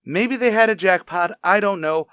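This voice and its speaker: A man's voice: high voice, moderate pace, sharp tone, clean tone, no room sound, clean studio sound. An actor playing an evasive, easily exasperated male murder suspect in a radio crime drama, answering defensively and testily. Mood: testy, evasive. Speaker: voice actor in an audio police drama.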